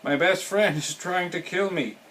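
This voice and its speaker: A man's voice: mid-range voice, animated, moderate pace, a bit bright. A man voicing an anxious, grumbling toad from a children's storybook, emphatic and dramatic. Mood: panicked. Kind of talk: children's storybook read-aloud.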